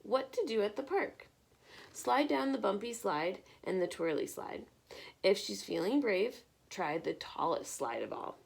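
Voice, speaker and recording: Gravelly-edged woman reading to children. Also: medium pitch, measured pace. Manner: expressive, encouraging, teacherly. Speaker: teacher reading a picture book aloud. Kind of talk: children's read-aloud with questions to listeners.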